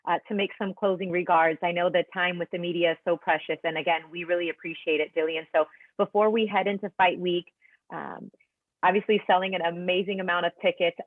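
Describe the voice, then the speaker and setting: A woman's voice: fairly low pitch, fairly even intonation, a little fast, dry recording. A woman politely moderating a press event on a remote conference call. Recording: tinny, narrow phone-like line.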